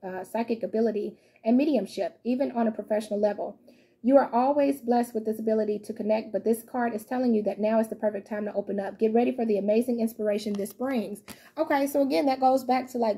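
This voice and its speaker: A young woman with a slightly high, breathy voice gives a casual tarot reading to the camera. She chats loosely with her viewers and reads guidebook passages aloud. She sits on her living room couch a few feet from the camera. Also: warm tone. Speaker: tarot reader. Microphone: fixed camera a few feet away.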